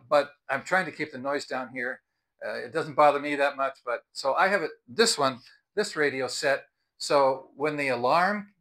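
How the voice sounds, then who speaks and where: A man's voice: mid-range voice, a bit bright. An American man in his sixties talking to camera in an earnest, advisory way, explaining things as a video host. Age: 60s. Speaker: video host and product reviewer. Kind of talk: explanatory vlog talk to camera. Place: outdoors on a house's front porch. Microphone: clip-on lapel mic.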